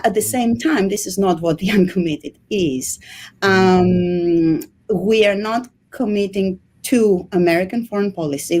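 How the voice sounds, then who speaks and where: A woman from Greece in her thirties with a Greek accent, a history lecturer speaking in an interview with many pauses and ums. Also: mid-range voice, darkish tone. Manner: hesitant, many ums and restarts. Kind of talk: casual interview talk.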